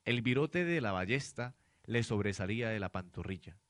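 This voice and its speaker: A man's voice: lowish voice, lively intonation, moderate pace, a bit bright, no echo, studio quality. A young man reading dramatic scripted narration for a radio drama, in a noisy amateur recording. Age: young adult. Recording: noisy amateur student production.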